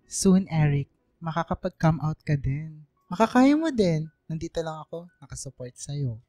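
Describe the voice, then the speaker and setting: Mellow effeminate Filipino teenage boy. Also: deep voice, animated, moderate pace, no room sound. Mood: supportive, reassuring. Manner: gentle, reassuring, code-switching into English. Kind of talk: radio drama acting. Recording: dry studio with faint music.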